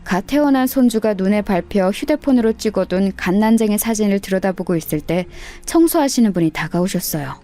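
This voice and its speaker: A woman's voice: medium pitch, expressive, brisk, few pauses, dark tone. A woman narrator reading a scripted first-person story in the style of a radio tale.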